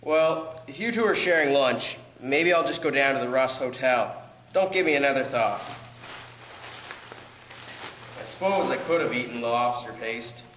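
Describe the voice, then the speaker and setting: A man's voice: mid-range voice, moderate pace, fairly bright tone, close to an everyday voice. A man playing a cheeky, reluctant teenage schoolboy in a 1920s radio play. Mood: sheepish. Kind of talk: scripted audio drama acting. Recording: echoey hall reverb with faint noise.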